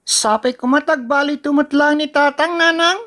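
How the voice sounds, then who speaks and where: A woman acts out a young Ilocano child in a radio-style family drama. The voice is clearly high and youthful, with an earnest, loving but frank way of speaking. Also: moderate pace, fairly bright tone. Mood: eager, hopeful.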